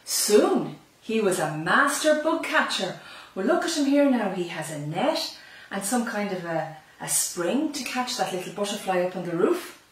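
A woman's voice: mid-range voice, very animated, measured pace. A middle-aged Irish woman reading a picture book aloud to young children, expressively and with chatty asides, in a library room. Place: small library room lined with bookshelves. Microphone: camera a few metres away. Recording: echoey room, slightly distant mic.

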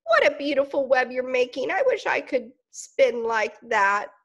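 A woman's voice: sits high, big pitch swings, unhurried, lo-fi sound, pitched above the performer's own voice, heavily acted. A woman voicing an impatient little female caterpillar in a storybook, whiny and pleading.

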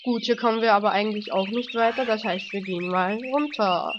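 A woman with a cold, commenting casually on a game she is playing. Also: medium pitch. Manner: relaxed, slow, chatty. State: has a cold, coughing. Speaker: let's play host. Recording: dull lo-fi home mic with faint noise.

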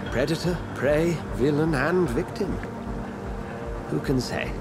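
British accent, genial hospital doctor, theatrical and formal, fairly high voice, game dialogue over loud music. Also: male voice, slow pace. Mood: composed, earnest. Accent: British English. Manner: theatrical, formal, gentlemanly turns of phrase. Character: genial, eloquent English doctor and hospital administrator, enthusiastic. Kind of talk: video game dramatic acting.

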